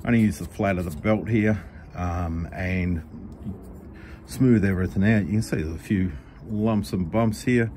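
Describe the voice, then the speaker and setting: A middle-aged Australian man, a knife maker, explaining his work step by step in a relaxed, practical way while he works at the bench. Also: low-pitched, moderate pace, slight background noise. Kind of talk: workshop tutorial voice-over. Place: home workshop.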